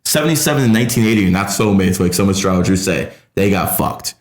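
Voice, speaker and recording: Man, low voice, podcast host, profane heated rants, echoey room. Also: lively intonation, fast talker. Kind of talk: casual podcast conversation. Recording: roomy echo.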